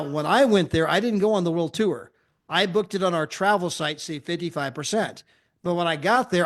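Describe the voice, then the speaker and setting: A man from the United States giving an energetic travel club sales pitch, often checking in with "right?" and "okay?".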